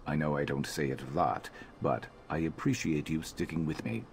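An actor playing a guarded, brooding beast-folk mercenary in a fantasy video game, terse and deadpan with a flat, robotic delivery. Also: male voice, very deep voice, moderate pace, gravelly, a little hiss or hum, heavily acted. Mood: low-key, earnest. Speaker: game companion character. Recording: game music behind.

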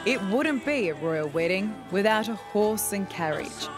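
An Australian woman delivers scripted narration for a television news feature. She speaks as the reporter at a middling pitch, with music clearly running behind her voice. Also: animated, a little slow.

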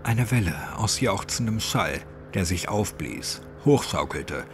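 A man's voice: fairly low pitch, lively intonation, measured pace. A man narrating a scripted horror story as an audiobook. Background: music.

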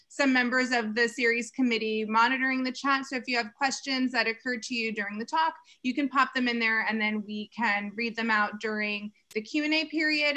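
Bright-voiced woman hosting an online lecture. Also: a little high. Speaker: host of an online lecture series. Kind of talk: scripted introduction of speakers. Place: indoor room on a video call.

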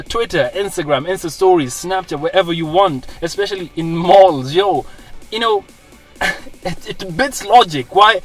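A young Kenyan man talks earnestly to his vlog audience in a fairly high voice. The microphone sits a little away from him in a car, and some faint music runs behind. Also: a bit bright, distant mic. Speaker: vlogger, social media personality. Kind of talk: casual vlog talk to camera. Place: inside a parked car.

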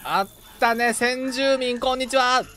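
A man's voice: very high voice, steady tone, a little slow, pushed and bright, soft background music. A man chatting casually while playing a co-op game, playfully repeating short phrases. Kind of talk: casual gaming chat.

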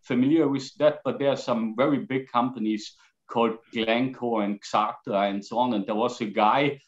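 Man, 40s, Austrian accent, video call guest, halting, middle pitch, slightly dull sound. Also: fairly even intonation. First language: German. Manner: gestures while talking, halting with fillers.

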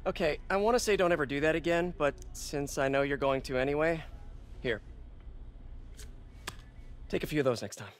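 An actor playing a young American superhero in a video game, wisecracking, boyish and earnest, slightly flustered. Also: male voice, on the high side, moderate pace, long pauses, natural voice. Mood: playful. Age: young adult. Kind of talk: video game cutscene acting.

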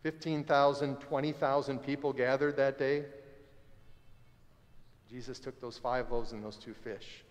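A man in his forties preaching a sermon as pastor, conversational and animated, standing by the altar of a church sanctuary. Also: medium pitch, unhurried, long pauses. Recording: long church reverb.

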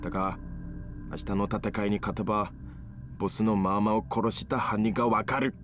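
An actor playing a cold, vengeful, ambitious young gangster fighter, curt and threatening, in dramatic video game dialogue. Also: male voice, fairly low pitch, fairly even intonation, normal speed, lightly acted. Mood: menacing. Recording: music under the dialogue.

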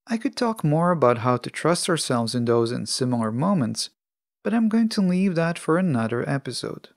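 A man hosting a self-help podcast alone, speaking in measured, deliberate phrases. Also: on the high side, very animated, no room sound, clean studio sound. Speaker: podcast host.

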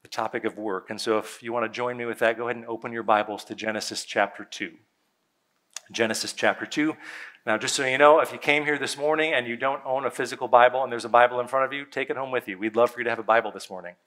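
A man, a pastor preaching a sermon on a church stage, conversational and emphatic, with dramatic pauses and soft drops in his voice. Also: mid-range voice, fairly even intonation. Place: church stage before a seated congregation.

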